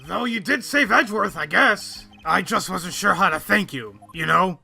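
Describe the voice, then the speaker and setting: A man playing a gruff, soft-hearted, folksy police detective in a video game fan dub. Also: high voice, lively intonation, normal speed, soft background music, heavily acted. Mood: earnest, gruff. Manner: blunt, folksy, calls people pal. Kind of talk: visual novel game fan dub acting.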